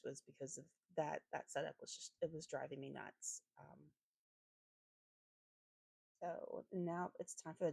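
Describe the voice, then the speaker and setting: A woman with a slightly raspy voice giving a casual craft voiceover, rambling hesitantly and often correcting herself as she goes. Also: low-pitched, mellow, dry recording. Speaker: planner vlogger and host.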